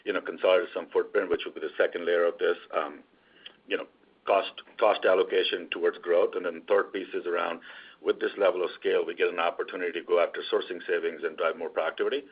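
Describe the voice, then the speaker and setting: A male executive speaks on an earnings call in a deep, husky voice. He moves quickly through his semi-formal business explanation. The audio is dull and band-limited. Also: steady tone.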